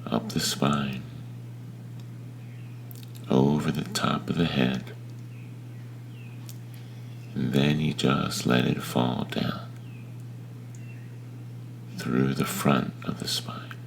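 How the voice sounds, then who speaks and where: A man leading a guided meditation in a gentle, unhurried manner with long pauses between phrases. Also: a bass voice, little pitch movement, vocal fry, a little hiss or hum. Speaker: meditation teacher.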